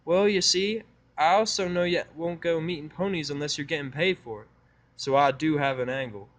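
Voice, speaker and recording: A man plays a country farm pony mare for an audio drama. The voice is lifted in pitch and folksy, with a friendly, sly manner of a boss used to getting her way. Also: normal speed, fairly bright tone. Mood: sheepish. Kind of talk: fan fiction audio drama acting.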